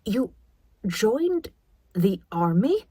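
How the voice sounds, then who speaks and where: A woman's voice: medium pitch, big pitch swings, drawn-out words, warm tone, slightly rough, no echo, voice pushed up, full character voice. A woman voicing a disapproving, status-conscious middle-aged mother in an audiobook, clipped and scolding. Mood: appalled.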